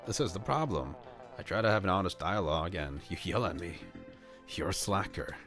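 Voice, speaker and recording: Man voicing a gruff, deep, grumpy werewolf. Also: animated, a little fast, fairly bright tone. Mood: exasperated scolding. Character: gruff, grumpy cursed werewolf housemate, male. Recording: music behind the voice.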